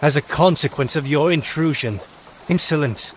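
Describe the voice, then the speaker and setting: A man's voice: a little high, moderate pace, a little hiss or hum, voice pushed up, close to an everyday voice. A man voicing a male elf leader in a fantasy audiobook, cold, pompous, melodic and intimidating. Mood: menacing.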